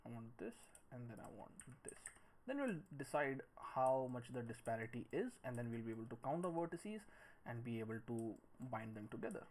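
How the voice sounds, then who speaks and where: A man in his thirties giving a screen-recorded tutorial, thinking aloud in a halting, musing way as he works. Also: medium pitch, big pitch swings, darkish tone. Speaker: 3D modelling tutorial presenter. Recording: noisy room with clicks and typing.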